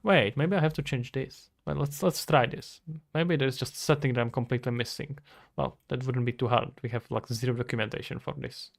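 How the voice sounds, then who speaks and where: Male game-dev streamer, 20s, medium pitch, casual, talks through his work aloud. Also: brisk, vocal fry. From Czech Republic. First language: Czech. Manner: thinking aloud, talking to himself and viewers. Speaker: streamer, indie game developer. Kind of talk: casual livestream chat while working. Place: indoors at a computer.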